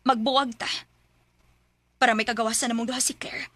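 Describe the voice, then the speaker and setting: A woman's voice: a little high, flat delivery, brisk, fairly bright tone, no echo, natural voice. A woman playing a betrayed, anguished wife resolved to leave, in an intense Bisaya radio drama, with a Cebuano accent. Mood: resolute. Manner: emotional, intense.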